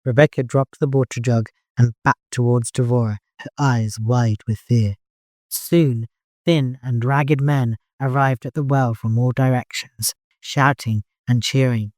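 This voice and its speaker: A man narrating fiction as an audiobook, in an expressive, dramatic storytelling manner. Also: mid-range voice, measured pace, no room sound.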